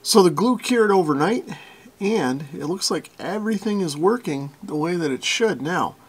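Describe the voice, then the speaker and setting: A man's voice: sits high, animated, normal speed, husky. A man giving an informal, hands-on repair walkthrough in a folksy, self-deprecating way. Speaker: radio repair hobbyist and video host.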